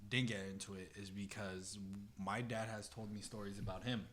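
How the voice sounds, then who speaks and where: A man's voice: on the low side, flat delivery, normal speed, a crowd in the background. A man telling family stories casually on a podcast, with slangy, profane wording and quoted dialogue acted out. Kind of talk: casual personal storytelling.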